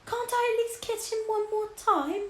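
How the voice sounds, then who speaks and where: A woman's voice: very high-pitched, moderate pace, a bit bright, reverberant, voice pushed up. A woman voicing a grieving, tearful widow in a storybook, with a pleading manner.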